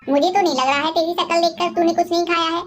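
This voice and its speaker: A shrill old grandmother voiced by a woman barks orders and threats in a cartoon moral story. She is suspicious and sharp-tongued, pitched very high, over soft music. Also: fairly even intonation, fast talker. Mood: suspicious, angry. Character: gruff, suspicious old grandmother, mistress of the house. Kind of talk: animated moral-story dialogue.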